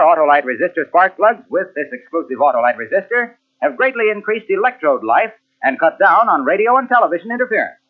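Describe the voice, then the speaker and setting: A man announcing in an emphatic salesman's delivery, reading a scripted 1940s radio advertisement. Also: sits high. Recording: tinny old radio recording, music behind.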